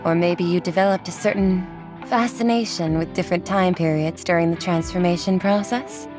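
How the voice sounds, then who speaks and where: Woman acting a low-voiced, resolute swordswoman in a game. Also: fairly even intonation, moderate pace, natural voice. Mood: teasing doubt. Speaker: video game character voice. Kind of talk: dramatic anime game voice acting. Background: music behind the voice.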